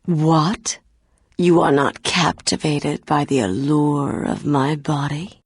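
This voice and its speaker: Very husky, low seductress. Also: female voice, fairly even intonation, takes it slowly, no echo, exaggerated voice. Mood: offended. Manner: breathy, lingering seductive delivery. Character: sultry flirtatious tavern dancer, vain and seductive. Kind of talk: video game character acting.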